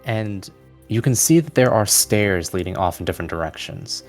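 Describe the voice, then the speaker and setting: A low-pitched man guides a fantasy tabletop game as its game master. He describes things conversationally, with music playing clearly behind him. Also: expressive, moderate pace.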